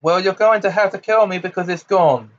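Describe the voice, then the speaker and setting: A man voicing an angry, defiant teenage boy wizard in an audiobook, loud, mocking and goading. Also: a little high, a little slow, muffled recording.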